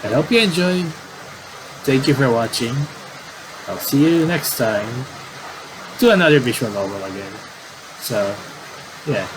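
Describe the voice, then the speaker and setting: A man doing casual game commentary and reading aloud, in a halting, rambling way with repeated words. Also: medium pitch, animated, noisy background.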